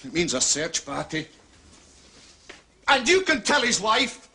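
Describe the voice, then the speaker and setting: A man playing an irascible, bossy Scottish police inspector in a radio drama, scolding with sharp authority. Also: high-pitched, sing-song, unhurried, slight background noise, heavily acted. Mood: gruff, businesslike.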